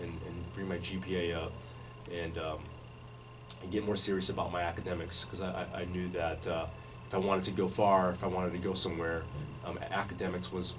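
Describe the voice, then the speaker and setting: A man in his forties telling his life story as a radio interview guest, measured and full of restarts. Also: low-pitched, level tone. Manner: measured, many restarts and fillers. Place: radio studio. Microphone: studio microphone, recorded from afar. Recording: distant mic with background noise.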